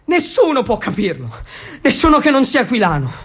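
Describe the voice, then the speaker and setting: A man playing a furious, anguished teenage boy, an earthquake evacuee, shouting with emotion in a stage monologue. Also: very high voice, wildly swooping pitch, brisk, breathy edge, pitched above the performer's own voice, exaggerated voice.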